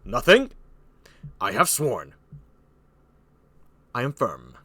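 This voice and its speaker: A man's voice: mid-range voice, huge pitch swings, pushed and bright, no room sound, voice pushed down, heavily acted. Man playing a commanding, bitter old king. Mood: cold, wrathful. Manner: slow, emphatic declamation with pauses.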